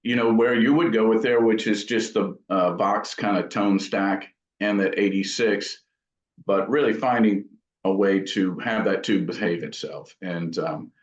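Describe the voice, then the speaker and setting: A man being interviewed as an amp builder, talking casually in thoughtful, rambling explanations. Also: fairly low pitch. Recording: dull, echoey room sound.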